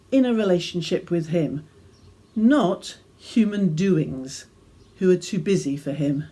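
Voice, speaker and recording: Husky woman, slow and mellow. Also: on the low side. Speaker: reader of her own devotional reflection. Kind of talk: scripted devotional reflection, storytelling read aloud.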